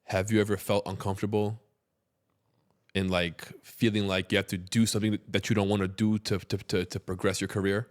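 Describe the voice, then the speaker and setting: A man's voice: on the low side, flat delivery, a little fast. A man hosting a podcast interview, upbeat and encouraging with short affirmations. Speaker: podcast host.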